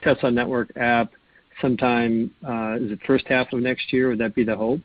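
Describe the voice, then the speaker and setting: Even-toned male analyst on a dull line. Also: mid-range voice, normal speed. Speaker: analyst asking questions. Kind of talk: question on an earnings call. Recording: slightly dull conference phone line.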